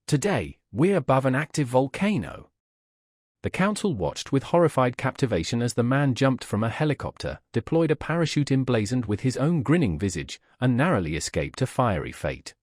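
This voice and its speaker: A man's voice: mid-range voice, sing-song, brisk, hardly any pauses, a little mellow, no echo, clean studio sound. A man narrating a scripted science fiction story in a wry storytelling style.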